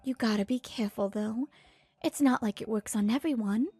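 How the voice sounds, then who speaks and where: A woman's voice: a little high, normal speed, a little mellow, husky. A frank older mother voiced by a woman gives cautionary advice in a manga dub. Music plays behind her. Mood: cautioning. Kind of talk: scripted manga dub dialogue.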